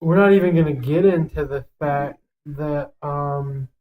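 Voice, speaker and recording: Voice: medium pitch, a little slow, narrow, tinny audio. A young adult podcast co-host giving opinions in casual banter, swearing freely and rambling emphatically.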